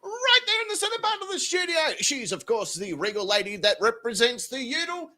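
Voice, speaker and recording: A loud, boisterous man hosting a studio show, his high voice bright and edged as it leaps up and down. He swears freely, laughs a lot and hams up his introductions like an announcer. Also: sing-song, a little fast. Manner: boisterous, profane, laughs often. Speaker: host. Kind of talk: crude comedic banter and showy introductions.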